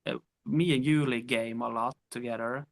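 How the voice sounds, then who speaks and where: A man's voice: medium pitch, steady tone, normal speed, vocal fry, dry recording, studio quality. A man who is a musician guest in a casual interview, answering hesitantly with many fillers.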